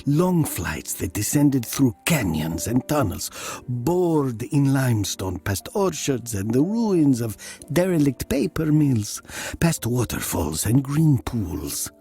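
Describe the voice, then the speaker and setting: A man playing a brooding narrator recalling his youth in a dramatic story, slow and deliberate, dropping into hushed breathy tones. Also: mid-range voice, very animated, faint music behind, exaggerated voice. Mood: brooding, reflective.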